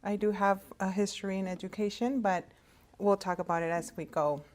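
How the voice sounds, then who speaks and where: A woman whose first language is Spanish, giving a casual career talk to students in a conversational, halting way, over a video call. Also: mid-range voice, steady tone, a little mellow. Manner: conversational, halting with frequent fillers and restarts. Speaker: hospital interpreter services director. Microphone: video call setup.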